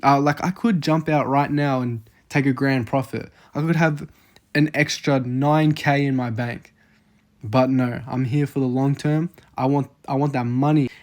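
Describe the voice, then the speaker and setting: A man's voice: mid-range voice, steady tone, a little slow, a little mellow. Casual young Australian man, thinking aloud. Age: 20s. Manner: casual, filler-heavy, thinking aloud over numbers. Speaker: investing vlogger. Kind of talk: casual screen-share walkthrough.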